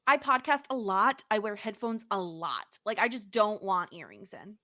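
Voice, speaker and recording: Animated, bright, higher-pitched woman. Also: moderate pace. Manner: chatty, animated, acts out quotes. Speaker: podcast host. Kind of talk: casual TV recap chat.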